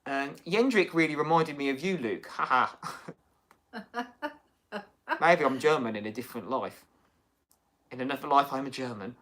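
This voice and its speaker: A man's voice: medium pitch, lively intonation, moderate pace, pauses often, fairly bright tone. A man hosting a casual livestream chat, reading out viewer comments in a rambling, chatty way. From United Kingdom. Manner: chatty, rambling, many fillers and restarts. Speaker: livestream host.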